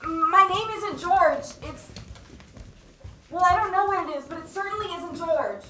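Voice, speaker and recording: Distant echoey stage recording, young woman acting a bewildered accountant, very high voice, hesitant, apologetic, stammering. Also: unhurried, pushed and bright, a little hiss or hum, heavily acted. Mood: confused, polite. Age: teens. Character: bewildered, apologetic accountant mistaken for an actor, called George. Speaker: student actor. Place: theatre stage.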